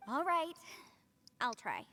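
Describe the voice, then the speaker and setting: A woman's voice: high-pitched, big pitch swings, extremely slow, sharp tone, heavily acted. A woman voicing a polite, thoughtful young legal advisor in a video game.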